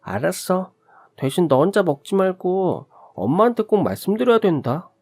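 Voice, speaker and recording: A friendly, eager young boy with a high, lifted voice, as a male narrator puts him on. He sounds polite and keen to make a new friend, like a storybook neighbor kid. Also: very animated, moderate pace, darkish tone, faint music behind, heavily acted.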